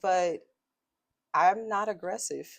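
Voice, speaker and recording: A woman's voice: fairly low pitch, takes it slowly, gravelly. A woman speaking in a strong voice, making her points assertively in a podcast conversation. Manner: assertive, emphatic, builds points in lists.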